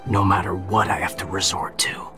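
A man's voice: low voice, fairly even intonation, moderate pace, over music, lightly acted. An actor playing an easygoing, teasing yet resolute young male ninja in an anime dub, casual and earnest. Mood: solemn resolve.

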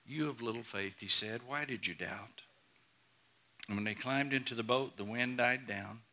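A man's voice: medium pitch, normal speed, bright, forceful tone. A middle-aged man preaching a sermon as a pastor, earnest and emphatic, often repeating and restarting phrases. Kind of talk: sermon to a congregation. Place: large church auditorium, on stage before a congregation.

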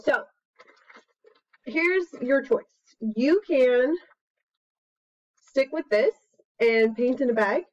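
High-voiced American woman, playful teacher. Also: drawn-out words, pauses often. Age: 30s to 40s. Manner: playful, expressive, with exclamations and sound words. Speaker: preschool teacher giving an art activity demonstration. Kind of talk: casual instructional chat to camera. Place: small plain room at home, indoors. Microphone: computer webcam mic at about arm's length. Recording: dull, lo-fi webcam sound.

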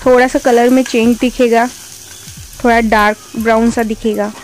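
A woman hosting a home cooking channel, calmly explaining a recipe step by step in a voice-over. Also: on the high side, moderate pace, faint music behind, rough recording. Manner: calm, instructive, homely explanations.

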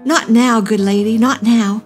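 A woman voicing a gentle, earnest young boy, polite and steadfast, in a children's religious storybook. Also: a little high, fairly even intonation, a little slow, faint music behind, pitched above the performer's own voice, natural voice. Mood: politely firm. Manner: earnest, courteous.

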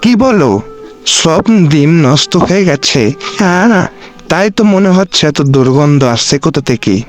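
A man voicing an excitable, scheming fox husband in a cartoon, with dramatic exclamations. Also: on the high side. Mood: dismayed. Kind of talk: cartoon voice acting.

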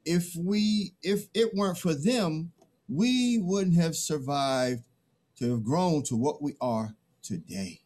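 Conscientious peacemaker, played by a middle-aged man, slow and hesitant, very animated pitch, stage reading. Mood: earnest, troubled. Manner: hesitant, earnest. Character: conscientious man pleading for the old ways. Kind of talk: dramatic play reading. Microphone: computer mic on video call.

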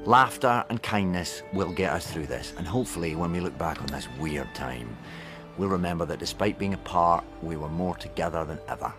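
A man delivers a sincere scripted appeal. His voice is very low, with music clearly underneath. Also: lively intonation, brisk. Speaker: charity appeal voice-over.

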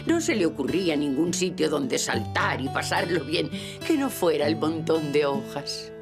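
Gentle female storyteller, Castilian Spanish, low and expressive, preschool cartoon with music. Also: moderate pace, vocal fry. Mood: gentle storytelling. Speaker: narrator. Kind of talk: scripted children's story narration. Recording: music bed behind the voice.

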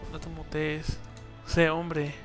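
Brief: young streamer, slightly high voice, playful teasing, faint game music behind. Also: male voice, completely flat delivery, slow. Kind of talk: casual gaming livestream banter.

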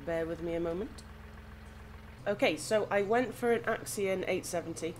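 A woman with a slightly croaky voice from hay fever, chatting casually and thinking aloud as she plays. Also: medium pitch, normal speed. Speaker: gaming channel host. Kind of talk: casual gameplay commentary. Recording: audible background noise.

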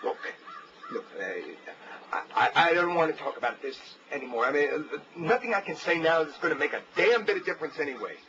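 An actor playing a hostile, defensive husband in an audio drama, halting and confrontational. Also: male voice, fairly high pitch, expressive, a bit bright. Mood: uneasy. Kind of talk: naturalistic audio drama acting. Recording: dull field recorder tape, faint noise.

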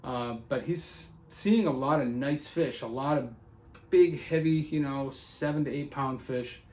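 A man in his thirties talks through a fishing forecast at medium pitch, loose and conversational with lots of "uh"s. His voice rings a bit in a roomy living room, picked up by a camera a few feet away. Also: measured pace, darkish tone. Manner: conversational, with frequent fillers. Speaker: fishing report host.

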